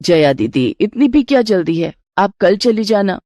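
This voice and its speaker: A woman voicing a resourceful older mother-in-law in a Hindi cartoon moral tale, calm, confident and affectionate. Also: mid-range voice, moderate pace, dry recording, clean studio sound, natural voice. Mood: kindly, explaining. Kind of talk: cartoon storybook character voice.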